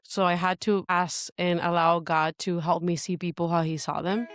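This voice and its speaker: Venezuelan-accented woman guest, native Spanish speaker, smooth voice, dry close sound, earnest storytelling. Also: lowish voice, flat delivery, normal speed, dry recording, faint music behind. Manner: earnest, searching for words. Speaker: worship pastor. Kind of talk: podcast interview, personal story.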